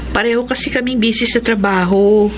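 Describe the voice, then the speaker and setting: A woman's voice: fairly high pitch, steady tone, fairly quick, a little hiss or hum, natural voice. An actress playing an unhappy young married saleslady in a Filipino radio drama, hesitant, plaintive and emotional. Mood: polite, reassuring. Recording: radio drama with loud music bed.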